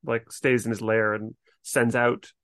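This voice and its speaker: A man's voice: medium pitch, flat delivery, a little slow, a bit bright, dry recording. Dry-sounding man co-hosting a chat. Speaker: podcast co-host. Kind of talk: casual literary discussion.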